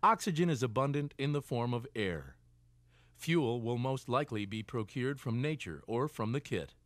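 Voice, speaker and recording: Male instructional narrator, lively pitch, dry studio. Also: no echo. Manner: deliberate, clearly segmented instructional delivery. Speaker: training film narrator.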